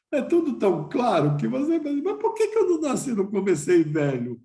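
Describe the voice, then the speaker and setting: A man's voice: a little high, mellow, roomy sound. An older man giving a reflective lecture to a class, asking himself questions and restarting phrases.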